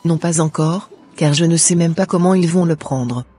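A man voicing an earnest, obedient young woman with a measured manner in a scripted cartoon. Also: on the high side, dark tone, soft background music, natural voice. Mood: earnest, subdued. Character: earnest young woman, obedient yet devoted to her love.

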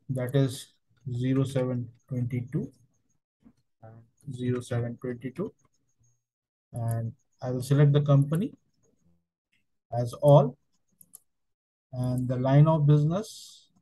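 A man working as a software trainer, narrating a step-by-step tutorial in halting, piecemeal phrases. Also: mid-range voice, poor audio.

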